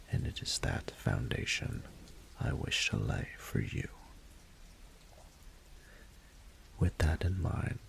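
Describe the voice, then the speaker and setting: A man playing a regal, doting dragon ruler in a romantic audio roleplay, speaking formally in a gravelly, breathy murmur. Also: rumbling low, a bit bright, a little hiss or hum, heavily acted, low-quality recording. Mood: tender, earnest. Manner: formal, unhurried, eloquent, courtly. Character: regal male dragon ruler, doting, patient, courtly mentor.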